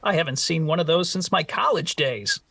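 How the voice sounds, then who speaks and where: A man's voice: a little high, animated, normal speed, vocal fry, bright, forceful tone, breathy edge, no room sound, clean studio sound, far from the mic, full character voice. An actor playing a naive, polite, bashful male teacher in a vintage radio comedy, speaking hesitantly. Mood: mild, agreeable.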